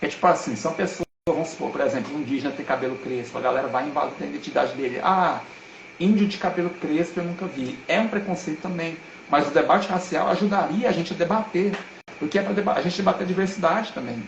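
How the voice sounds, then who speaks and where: A man speaks on a live video call, earnest and emphatic. His voice is gravelly and pitched slightly high for a man. The sound is roomy and echoey. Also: quick pace, slight background noise. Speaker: guest speaker. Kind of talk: casual live-stream talk. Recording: roomy echo.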